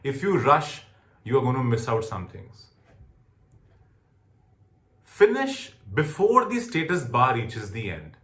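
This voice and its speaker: A man's voice: medium pitch, wildly swooping pitch, slow, long pauses, fairly bright tone, echoey room. A man with an Indian accent teaching exam tips as a trainer, emphatic and repeating his key points. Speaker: test-preparation trainer. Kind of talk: instructional lecture on exam tips.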